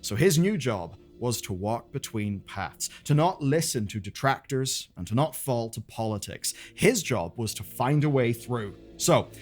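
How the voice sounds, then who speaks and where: A man presenting game lore as dramatic scripted narration, with pointed pauses and colloquial asides. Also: mid-range voice, lively intonation, faint music behind.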